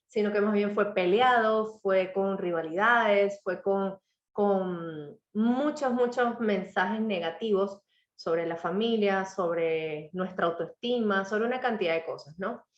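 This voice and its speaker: A woman with a slightly hoarse throat, telling a personal story to a live audience in a reflective, motivational way. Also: medium pitch, slow pace, reverberant, highs cut off. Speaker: author and speaker.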